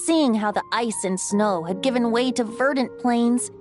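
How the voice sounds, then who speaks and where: A woman's voice: fairly high pitch, unhurried, close to an everyday voice. A woman voicing a playful, poetic young male bard in a video game, with lilting, theatrical storytelling. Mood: gentle, solemn. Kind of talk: dramatic video game narration. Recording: music under the voice.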